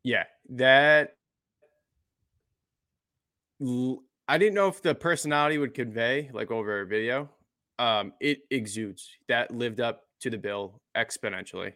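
A man's voice: medium pitch, measured pace, bright, forceful tone, no echo, studio quality. A man co-hosting a sports podcast, chiming in with brief, wry remarks. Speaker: podcast co-host. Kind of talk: casual sports podcast chat.

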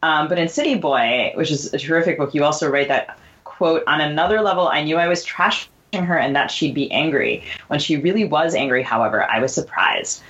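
A woman co-hosting a conversational literary interview, putting questions in a measured, articulate way. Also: low-pitched, a bit bright, highs cut off. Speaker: podcast co-host.

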